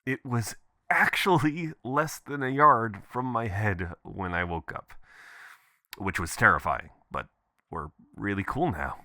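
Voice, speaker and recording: A man's voice: lowish voice, unhurried, dry recording, clean studio sound, exaggerated voice. A man playing an adventurous, affectionate boyfriend leaving casual, playful voicemails in an intimate roleplay style. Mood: amused, affectionate. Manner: casual, expressive, chuckling, swearing. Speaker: audio performer.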